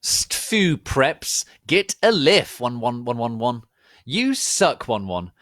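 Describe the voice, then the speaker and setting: Man putting on a bratty high-pitched teenage girl author. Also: very animated, slow pace, a bit bright, no echo, studio quality. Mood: petulant. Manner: petulant, exclamatory.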